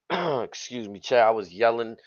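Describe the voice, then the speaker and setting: A man co-hosting a casual wrestling talk stream, his voice a bit hoarse and raspy from yelling, with relaxed slangy banter. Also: mid-range voice, a little slow, dry recording. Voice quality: slightly raspy. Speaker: wrestling show co-host. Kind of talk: casual livestream chat. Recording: dull dry lo-fi stream audio.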